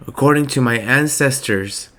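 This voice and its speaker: A man with a slightly raspy voice giving a slow, reflective spiritual monologue with many pauses. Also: mid-range voice, fairly bright tone. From Palestinian heritage. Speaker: spiritual reader.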